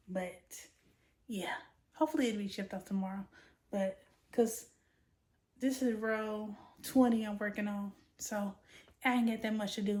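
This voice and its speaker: A woman, chatting casually about her crochet work in a rambling, informal way while her voice is a bit hoarse. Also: medium pitch. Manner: relaxed, rambling, many ums, informal slang. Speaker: crochet vlogger. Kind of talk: casual chat while crafting.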